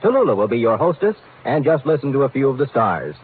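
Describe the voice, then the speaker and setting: A man's voice: mid-range voice, very animated, fast talker, husky. A man with an American accent announcing programs in the polished, promotional style of 1950s network radio. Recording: tinny old radio broadcast, faint music.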